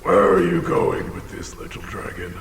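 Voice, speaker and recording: An actor playing a huge, touchy, boastful ancient dragon in an audio drama, rambling theatrically with a gravelly voice. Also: male voice, moderate pace, lightly acted. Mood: confused, defensive. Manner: theatrical, rambling, swings into bellowing. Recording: cavernous echo with long reverb, faint noise.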